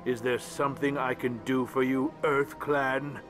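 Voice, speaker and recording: A man's voice: medium pitch, flat delivery, measured pace, a bit bright, close to an everyday voice. An actor playing a grumpy, condescending small alien male ambassador in a video game, over background music. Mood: resentful. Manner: curt, resentful, condescending.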